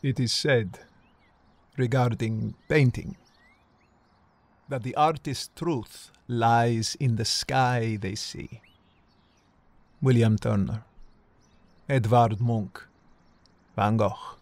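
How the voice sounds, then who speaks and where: A man acting a brooding, self-loathing narrator of his own life, speaking solemnly in poetic phrases with weighty pauses. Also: medium pitch, fairly even intonation, no room sound. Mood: solemn, wry. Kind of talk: dramatic first-person voice-over.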